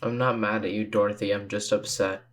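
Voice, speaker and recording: A man's voice: on the low side, little pitch movement, brisk. A man playing a character in an improvised tabletop game, speaking in an earnest, restrained way. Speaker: player in tabletop role-playing podcast. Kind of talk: in-character improvised role-play.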